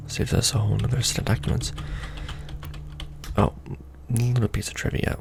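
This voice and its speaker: A man playing a casual, wry secret-society intake clerk in a soft-spoken, half-whispered ASMR roleplay, deadpan and rambling. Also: lowish voice, creaky, a little hiss or hum, exaggerated voice. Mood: dry, casual. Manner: deadpan, rambling, mumbling to himself over paperwork. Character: casual, wry male Illuminati recruitment clerk doing intake paperwork.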